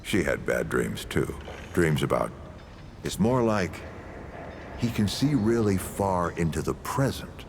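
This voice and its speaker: An actor playing an old, folksy, world-weary leader and storyteller in a video game, with a gravelly voice and unhurried delivery. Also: male voice, low voice, big pitch swings, slight background noise, exaggerated voice. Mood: reflective. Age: older. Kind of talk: video game character dialogue.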